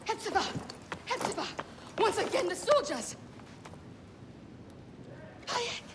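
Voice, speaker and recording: A woman's voice: extremely high pitch, sing-song, slow, very noisy, full character voice. An actress playing a caring, bossy female healer and old friend in a video game, with a motherly manner. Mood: alarmed. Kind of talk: video game cutscene acting.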